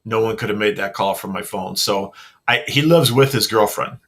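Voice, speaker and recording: A man with a lowish voice speaking over a webcam call from home. He is steady and matter-of-fact. He follows up on earlier remarks and spells out the details. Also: moderate pace. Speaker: podcast co-host. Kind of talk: casual chat.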